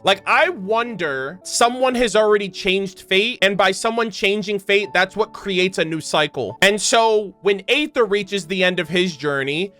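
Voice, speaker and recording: A young man with a high, very bright and crisp voice, speaking as a gaming streamer. He gives casual, rambling commentary, thinking out loud as he goes. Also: animated, a little slow, few pauses, faint music behind. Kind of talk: casual unscripted lore commentary.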